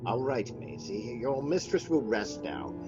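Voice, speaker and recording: An actor playing a sardonic family doctor in a radio mystery, with a dry, measured manner. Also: male voice, mid-range voice, big pitch swings, dull audio, over music. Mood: dry authority. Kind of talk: radio mystery drama acting.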